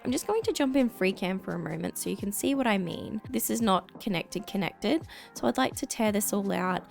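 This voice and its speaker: A woman recovering from a lost voice, slightly croaky, chatting excitedly to viewers while playing a game. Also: medium pitch, a little fast, soft background music. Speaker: Minecraft let's-play creator. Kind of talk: casual gameplay commentary.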